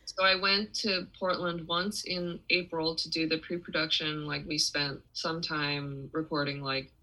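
A woman's voice: low voice, flat delivery, measured pace, bright, forceful tone, rough recording. A young adult woman from Taiwan, a musician and interview guest, talking casually with many restarts and fillers.

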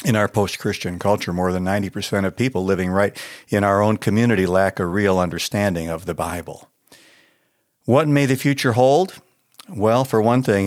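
Deep-voiced American pastor. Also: male voice. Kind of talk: scripted devotional reading.

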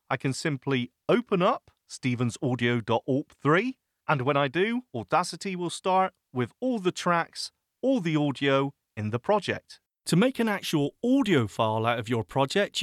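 Lively, chatty male tutorial host. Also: on the high side, no room sound, clean studio sound. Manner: chatty, self-mocking, animated asides. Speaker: podcast host teaching audio editing. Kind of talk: screen-reader software tutorial.

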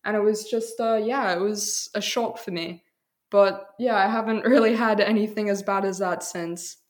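A woman's voice: mid-range voice, steady tone, moderate pace. A young adult woman, a student guest, telling personal experiences conversationally in a candid, thoughtful way. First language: English.

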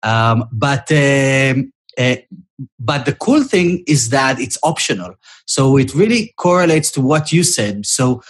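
Hesitant husky man from Israel. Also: mid-range voice, animated, takes it slowly. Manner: hesitant, many fillers. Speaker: podcast panelist. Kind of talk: casual discussion.

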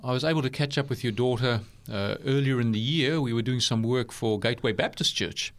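A man's voice: mid-range voice, brisk. A man with a mixed South African and New Zealand accent hosting an interview in a studio, chatty and laughing easily. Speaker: interview host. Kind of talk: conversational interview.

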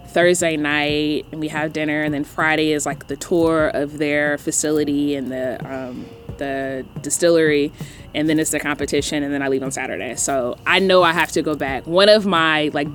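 Chatty low-voiced woman, excited. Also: moderate pace, a little hiss or hum. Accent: Northeastern US with Southern phrasing. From North Carolina. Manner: enthusiastic, chatty, many ums. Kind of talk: casual podcast chat.

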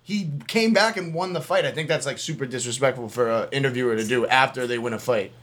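A young American man with a bright, forceful voice, co-hosting a casual podcast. He argues his side hard, swears freely and laughs a lot. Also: medium pitch, fast talker. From United States. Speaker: podcast co-host.

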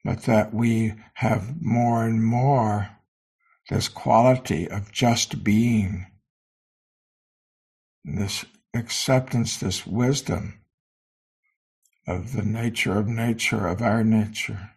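A man teaching meditation, guiding a sitting in short, sparse phrases with long pauses between them. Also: lowish voice, fairly even intonation, vocal fry, a little mellow, husky. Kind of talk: guided meditation.

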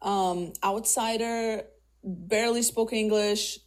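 A woman's voice: medium pitch, fairly even intonation, takes it slowly. Chatty woman talent-hiring head. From Russia. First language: Russian. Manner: chatty, many fillers and restarts. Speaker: guest, career coach. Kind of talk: casual interview.

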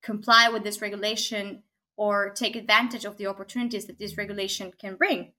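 A woman from Argentina, a technology consultant, speaking as a guest in a podcast interview, thoughtful, with frequent pauses and tag questions. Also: mid-range voice, flat delivery, bright, forceful tone. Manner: thoughtful, many fillers and restarts, frequent "right?".

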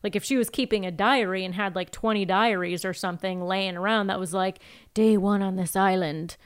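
A woman's voice: mid-range voice, fairly quick, clear, resonant tone. Female podcast co-host, mid-range voice, informal and opinionated, slips into acted-out lines while recapping television. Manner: animated, opinionated, acts out imagined lines, swears casually. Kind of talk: casual chat, TV show recap.

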